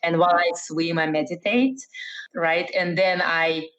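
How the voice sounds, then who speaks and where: A woman's voice: fairly low pitch, fairly even intonation, measured pace, a bit bright. A middle-aged woman from Russia, raised in the Netherlands, answering interview questions thoughtfully with many fillers and restarts. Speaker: interview guest, entrepreneur. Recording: dull lo-fi sound.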